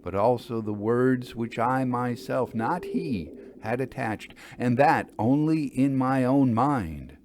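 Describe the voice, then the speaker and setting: A man hosting and narrating a literary story podcast, with measured, deliberate phrasing. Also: mid-range voice, darkish tone, slight background noise. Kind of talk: literary storytelling and scripted commentary.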